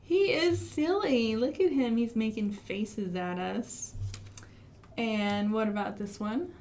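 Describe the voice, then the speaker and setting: An American woman hosting an interactive storytime for young children, asking questions and speaking in an expressive, encouraging way. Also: a little high, slow. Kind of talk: interactive children's storytime with songs and finger rhymes. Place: live online stream for a library.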